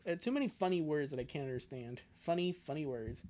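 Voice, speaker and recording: A man's voice: fairly high pitch, lively intonation, quick pace, darkish tone. A man streaming a live reading, reacting casually with joking, mocking asides and frequent laughter.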